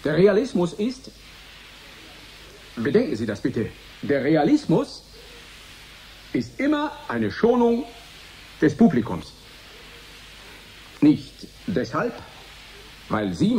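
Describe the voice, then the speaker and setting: Ein Schauspieler spielt in einem Hörspiel einen eindringlichen Maler, der eine öffentliche Rede hält, rhetorisch und mit betonten Wiederholungen. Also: male voice, sits high, darkish tone, a little hiss or hum, full character voice. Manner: emphatic, rhetorical, repeating phrases. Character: male painter giving a provocative public speech, insistent. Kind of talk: declamatory radio drama oratory.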